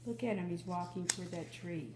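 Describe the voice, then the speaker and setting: A woman's voice: on the low side, brisk, warm tone, roomy sound, low-quality recording. A woman hosting a children's read-aloud, chatting casually in an encouraging, exclaiming way. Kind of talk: casual chat addressed to children.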